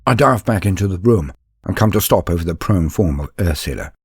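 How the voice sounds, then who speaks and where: A man's voice: sits low, animated, fairly quick, creaky, slightly rough, no echo, clean studio sound. A man narrating as a storyteller and host, in a scripted, theatrical style with courtly phrasing. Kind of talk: dramatic first-person story narration and scripted channel address. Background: background music.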